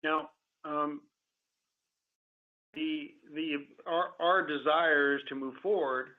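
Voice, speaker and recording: A man speaking as a company executive on an earnings call, answering in a halting, careful way with many repeated words, over a phone line. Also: fairly high pitch, steady tone. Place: conference call. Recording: tinny telephone conference line.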